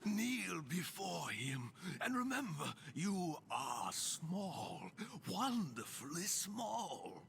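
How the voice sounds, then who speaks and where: An actor playing a fervent zealot in a video game, speaking in halting, theatrical, reverent monologue that swells with breathy devotion. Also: male voice, high voice, sing-song, fairly bright tone, heavily acted. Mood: awed rapture. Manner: rapturous, halting, dramatically drawn-out, breathy swells. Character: fervent, unsettlingly reverent zealot guiding a pilgrim to his holy master.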